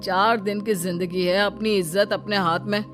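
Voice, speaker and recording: A woman voicing a calm, peace-loving elderly father-in-law in a cartoon who pleads to avoid quarrels. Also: mid-range voice, normal speed, faint music behind, close to an everyday voice. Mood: soothing.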